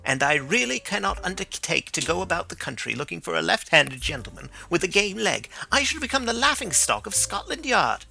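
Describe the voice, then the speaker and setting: A man's voice: high-pitched, sing-song, brisk, creaky, few pauses, sharp tone, soft background music, voice pushed up, exaggerated voice. A man reading an audiobook, voicing a skeptical and blunt police inspector who asks doubting questions. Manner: doubting, practical, dismissive questions.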